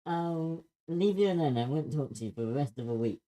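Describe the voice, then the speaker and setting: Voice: mid-range voice, expressive, brisk, warm tone, close to an everyday voice, rough recording. An actor reading a timid, earnest young creature schoolgirl in a screenplay table read, with a subdued, hesitant manner. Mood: pleading.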